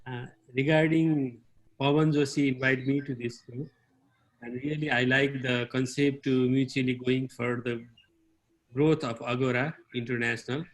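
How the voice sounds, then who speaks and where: A man with a Nepali accent introduces himself as a guest at an online club meeting. His voice sits at a medium pitch. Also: fairly even intonation, normal speed, poor audio. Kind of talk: self-introduction speech. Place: online video call.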